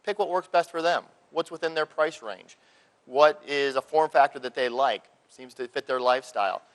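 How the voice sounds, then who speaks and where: Deliberate man giving a panel presentation. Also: medium pitch. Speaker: healthcare industry speaker.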